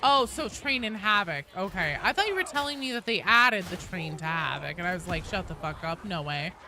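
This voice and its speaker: A woman's voice: fairly low pitch, expressive, a little fast, sharp tone, a little hiss or hum. A woman livestreaming games, chatting casually and reading out viewer comments in a joking, teasing, sweary way. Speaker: game streamer.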